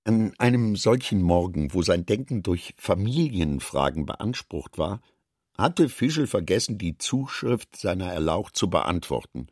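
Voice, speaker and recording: A man reading scripted literary narration for a radio play in a measured, expressive way. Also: low-pitched, slightly rough, dry recording, studio quality.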